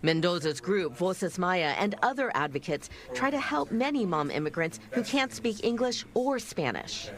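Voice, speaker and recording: A woman reporter reading a scripted news story in a measured, storytelling way. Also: fairly low pitch, big pitch swings.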